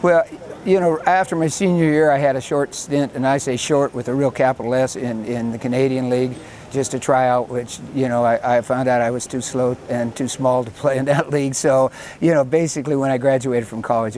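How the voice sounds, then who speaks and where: An older man with an American accent and a middle-pitched voice talks casually about his coaching life. He tells anecdotes in a self-deprecating way. Faint outdoor traffic noise sits behind him. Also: little pitch movement, fairly quick, darkish tone. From Washington state, USA. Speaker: American football head coach. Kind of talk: casual interview reminiscing.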